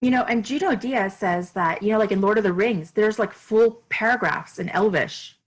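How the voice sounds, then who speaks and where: A woman's voice: mid-range voice, normal speed, slightly rough, low-quality recording. A woman author from Miami, speaking earnestly and reflectively as a podcast interview guest, with fillers and restarts.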